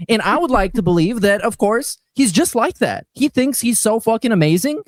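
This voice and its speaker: A man on a streamed panel show giving casual opinions, emphatic and sweary, with halting repetitions. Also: sits high, lively intonation, dry recording, studio quality.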